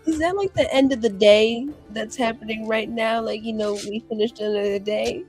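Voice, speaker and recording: A woman's voice: on the high side, fairly even intonation, normal speed, a little mellow, faint music behind. A woman tabletop player joking casually in a slangy, playfully sassy way.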